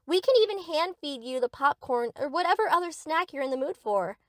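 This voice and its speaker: A woman's voice: sits high, expressive, brisk, dry recording, clean studio sound. A woman playing a sweet, eager, obsessive young yandere best friend in an anime-style audio roleplay, gushing and theatrical.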